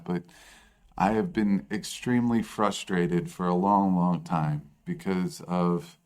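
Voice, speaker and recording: A man co-hosting a podcast in casual chat, with a low bassy voice and a self-deprecating, rambling way of talking. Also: a little mellow. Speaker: podcast co-host.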